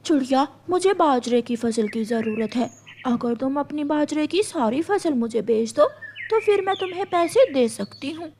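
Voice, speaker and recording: A woman's voice: high voice, lively intonation, moderate pace, a little mellow, slight background noise. A woman voicing a businesslike female eagle grain trader in a cartoon, matter-of-fact in manner.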